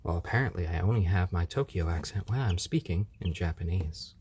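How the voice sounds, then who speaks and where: A man's voice: low voice, expressive, brisk, darkish tone, breathy edge. A man hosting a casual live stream, chatting easygoingly with viewers and cooing over his baby chicks at home.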